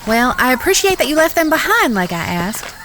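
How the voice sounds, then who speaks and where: A woman playing a genteel, firm-willed young frontier lady in a Western audio drama, polite, folksy and gently bossy. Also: high-pitched, big pitch swings, brisk, noisy background. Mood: reasoning.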